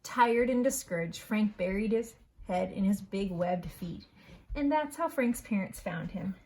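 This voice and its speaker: A woman, a school principal, reading a children's story aloud in an expressive, unhurried way. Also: mid-range voice, rough recording.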